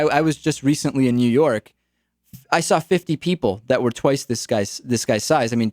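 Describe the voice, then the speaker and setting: A man's voice: mid-range voice, darkish tone, no room sound. Young male talk radio host, dry studio. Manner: casual, wry, repeats words.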